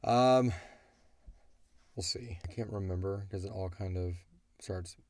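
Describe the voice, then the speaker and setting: A man's voice: sits low, unhurried, a little mellow, no echo. A middle-aged man hosting a casual question-and-answer chat as the creator of a show. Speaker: audio drama creator and show host.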